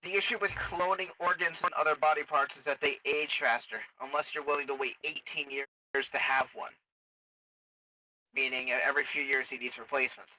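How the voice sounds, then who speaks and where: A man playing a blunt, matter-of-fact military medic in improvised sci-fi game roleplay, explaining things haltingly. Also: fairly high pitch, steady tone, bright, forceful tone, dry recording, rough recording.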